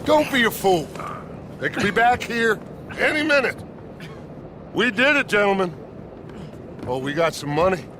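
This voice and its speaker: A scheming American outlaw chief in a Western game. His delivery is grandiose and commanding, pitched high and sweeping widely up and down. Loud ambient noise sits behind him. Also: lively intonation, a little slow, long pauses. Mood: smug, urgent. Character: grandiose, scheming male outlaw gang leader, middle-aged. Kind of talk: dramatic video game acting.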